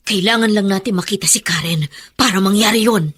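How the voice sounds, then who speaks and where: A woman's voice: mid-range voice, brisk, fairly bright tone, husky. A Filipino actress playing a defiant, long-suffering middle-aged mother, emphatic and melodramatic, in a Tagalog radio drama. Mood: bitter, determined.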